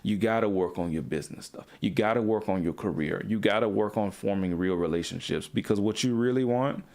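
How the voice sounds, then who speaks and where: A man with a fairly low voice talks straight to the camera as a coach for young men. He is blunt and preachy, and he swears freely as he lectures. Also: fairly quick, a little mellow. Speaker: men's coach. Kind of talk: direct-to-camera motivational talk.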